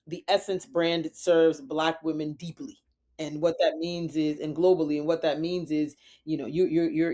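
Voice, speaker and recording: Middle-aged woman, interview guest, conversational, emphatic, from Liberia and New York. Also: sits low. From Liberia and the United States, raised in New York City. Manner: emphatic, often checks in with "right?" and "you know". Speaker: podcast guest, community executive.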